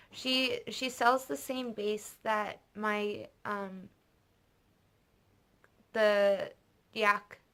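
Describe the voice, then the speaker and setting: A woman's voice: mid-range voice, fairly bright tone. A young woman from North America hosting a knitting vlog, chatting casually and rambling off on tangents with lots of fillers. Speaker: knitting podcast host. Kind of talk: casual chat showing purchases.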